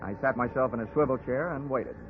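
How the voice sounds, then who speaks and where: An actor playing a cynical, wisecracking private investigator in a 1940s radio drama, with a clipped, slangy, sardonic delivery. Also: male voice, mid-range voice, a little fast, background noise, close to an everyday voice. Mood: dry, narrating. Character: wisecracking hard-boiled private insurance investigator, cynical man. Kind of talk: 1940s radio detective acting and narration. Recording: muffled 1940s radio broadcast, music.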